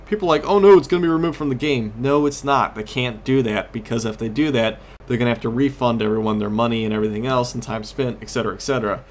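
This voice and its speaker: A man's voice: mid-range voice, quick pace, hardly any pauses, slight background noise. A man giving casual, rambling gameplay commentary as host of a gaming series, often losing his train of thought.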